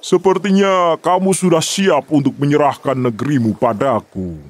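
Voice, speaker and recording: Man playing an arrogant high-pitched taunting villain. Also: normal speed, lightly acted. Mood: mocking. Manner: taunting, boastful. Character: arrogant mocking foreign man challenging a kingdom. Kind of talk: children's folktale audio drama.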